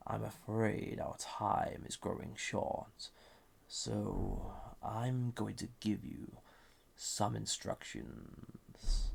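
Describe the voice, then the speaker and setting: A banished villain of an audio drama, speaking very slowly in a low, heavily gravelled voice. He is suave and flirtatious with a dangerous edge, every formal phrase deliberate and softly condescending. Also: full character voice. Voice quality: deep, gravelly, husky, breathy. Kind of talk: scripted roleplay audio drama.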